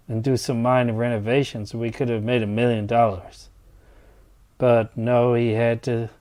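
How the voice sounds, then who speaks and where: A man's voice: medium pitch, dark tone, close to an everyday voice. A man playing a blunt, resentful, set-in-his-ways engineer in an exasperated dramatized dialogue.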